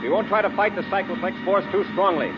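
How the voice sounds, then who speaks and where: An actor playing a resolute space patrol commander who gives crisp, authoritative orders in 1950s radio adventure style. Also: male voice, sits high, quick pace, lightly acted. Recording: dull old radio recording with music.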